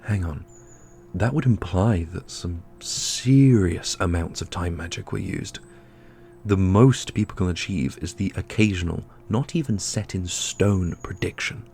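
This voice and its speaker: A man's voice: deep voice, measured pace, creaky, darkish tone, pitched below the performer's own voice. A man playing a blunt, skeptical, plain-spoken adventurer in a fantasy audio roleplay, with an incredulous, demanding manner. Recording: music under the voice.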